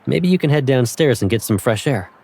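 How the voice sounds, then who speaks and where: A man's voice: medium pitch, animated, fairly quick, vocal fry, darkish tone. A man playing an easygoing, teasing young man in a fan dub of an otome game. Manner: playful, teasing. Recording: voice over guitar music.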